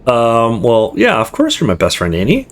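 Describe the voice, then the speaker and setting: A man's voice: medium pitch, wildly swooping pitch, normal speed. A man voicing a sensible, sheepish young man in a visual novel, hesitant and reassuring.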